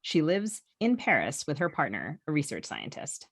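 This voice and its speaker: A woman's voice: low-pitched, huge pitch swings, moderate pace, slightly rough, no room sound, studio quality. A woman co-hosting a podcast in a conversational way, with a wry, teasing manner. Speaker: podcast co-host.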